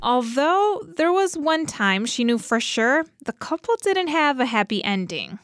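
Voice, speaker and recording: A woman's voice: sits high, very animated, a little slow, fairly bright tone. A woman narrating a scripted radio feature as a reporter.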